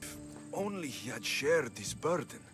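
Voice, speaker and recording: A man's voice: on the high side, big pitch swings, a little slow, over music. An actor playing a grieving male Greek mercenary hero in a video game, speaking in a subdued, somber way. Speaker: game protagonist. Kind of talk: video game cutscene acting.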